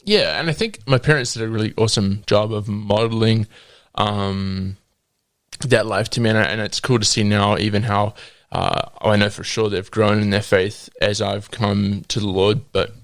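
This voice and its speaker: Young New Zealand man, twenties, podcast guest telling his testimony, low voice, hesitant, dry close sound. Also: fairly even intonation, moderate pace, no room sound. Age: 20s. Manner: hesitant, with frequent ums and laughs. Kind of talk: sharing a personal faith testimony.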